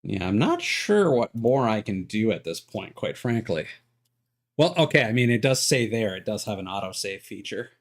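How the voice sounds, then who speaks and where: Low-voiced man streaming casually. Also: big pitch swings, moderate pace. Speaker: video game streamer. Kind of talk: casual live stream commentary, rambling while troubleshooting.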